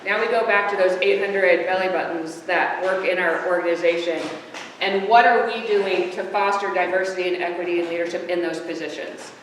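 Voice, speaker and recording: A woman presents at a panel in a large, very echoey hall. She is heard from a distance, with a long reverb tail behind her, and she talks through her slides in a plain, conversational way, often pausing on a filler. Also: medium pitch, a bit bright, a little hiss or hum. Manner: conversational, filler-heavy explaining. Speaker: hospital panelist.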